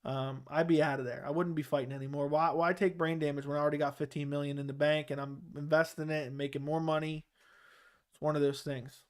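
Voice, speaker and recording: A man's voice: a little high, flat delivery, quick pace, clean tone. A young man with a Baltimore accent, hosting a live chat and answering viewer comments in a loose, rambling way. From Baltimore area. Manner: loose, rambling, with fillers and restarts. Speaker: host of a live chat show.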